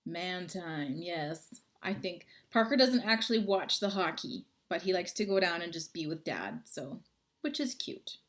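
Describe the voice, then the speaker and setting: A woman's voice: on the low side, animated, unhurried, fairly bright tone. A woman, chatting casually with viewers on a livestream from home and reading out their comments. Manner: chatty, friendly, rambling. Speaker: livestream host. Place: home, indoors.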